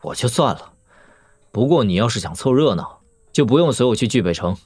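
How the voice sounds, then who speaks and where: A man playing an assured young lord and master swordsman in a Chinese wuxia audio drama, measured, analytical and dryly witty. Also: mid-range voice, animated, darkish tone, breathy edge, dry recording. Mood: wry, teasing.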